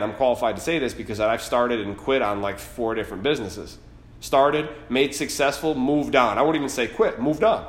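A man in his late thirties hosting a podcast, giving a blunt, fired-up motivational monologue full of swearing. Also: mid-range voice. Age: 30s. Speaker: podcast host. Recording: roomy echo.

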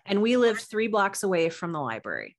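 A woman's voice: mid-range voice, very animated, fairly quick, slight background noise. A woman from the United States talking as a podcast guest, chatty and explanatory in a casual interview.

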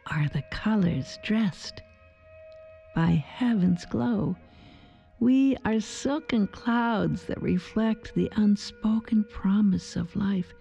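A woman leading a guided meditation in a soft, soothing, gentle voice, with poetic phrasing. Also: medium pitch, vocal fry, highs cut off. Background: soft music.

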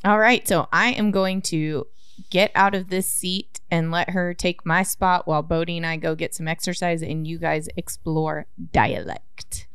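A woman's voice: lowish voice, fairly even intonation, a little slow, a bit bright, clear, resonant tone, dry recording, studio quality. A woman co-hosting a podcast, chatting casually and joking. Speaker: podcast co-host.

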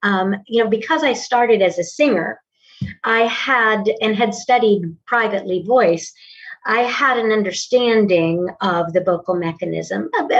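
A woman's voice: mid-range voice, distant mic. A woman in her sixties, a voice and acting teacher, speaking thoughtfully and articulately in a casual interview. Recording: dull lo-fi audio, slightly off-mic.

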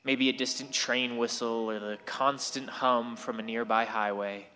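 A man's voice: medium pitch, fairly even intonation, a little slow, sharp tone, a little hiss or hum. An actor playing an angst-ridden sixteen-year-old boy who narrates a radio play in a reflective way. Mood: wistful, reflective. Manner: reflective, storytelling to the listener. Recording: reverberant hall.

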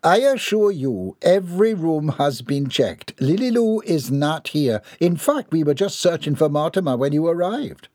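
A man's voice: on the high side, big pitch swings, normal speed, few pauses, darkish tone, dry recording, clean studio sound, pitched above the performer's own voice. A man voicing a composed, firm woman with forced politeness and formal speech in an audiobook.

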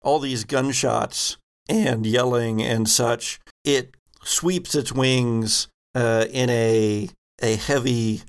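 A man narrates a tabletop roleplaying game as its game master. He speaks slowly with a creaky, gravelly edge, in a middle-of-the-road pitch, building his descriptions in halting pieces.

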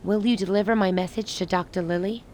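An actress playing a grave, resolute woman who leads a besieged rebel city in a radio play, speaking in measured, formal lines. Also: medium pitch, steady tone, darkish tone, a little hiss or hum, natural voice. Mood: solemn, insistent. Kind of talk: dramatic radio play dialogue.

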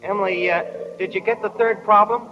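A man's voice: high voice, fairly even intonation, fairly quick, background noise, lightly acted. An actor playing a bashful, admiring small-town boy of sixteen, hesitant and awkward, in 1940s radio drama style. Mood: hushed calling. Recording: dull 1940s radio recording.

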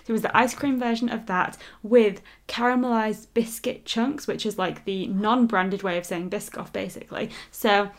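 A young British woman co-hosting a podcast, chatting casually in a keen, expressive way. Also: mid-range voice, normal speed, hardly any pauses. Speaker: podcast co-host. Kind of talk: casual chat.

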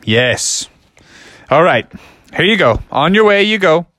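A man's voice: fairly high pitch, sing-song, measured pace, sharp tone, no echo, studio quality, exaggerated voice. Man as an earnest, solemn gummy bear elder. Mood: granting.

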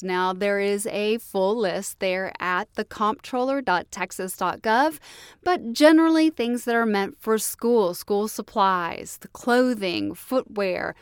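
A woman reading a public service announcement, clear and deliberate. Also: fairly high pitch, dry recording, clean studio sound.

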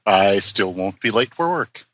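A man's voice: fairly low pitch, animated, measured pace, a bit bright. A man on a podcast call who speaks politely and hesitantly, with many fillers. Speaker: podcast guest. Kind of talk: casual chat.

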